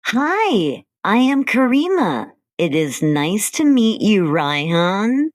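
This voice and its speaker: A woman co-hosting an English-learning podcast, speaking slowly and carefully in simple scripted sentences. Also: medium pitch, big pitch swings, dry recording, studio quality. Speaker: podcast co-host.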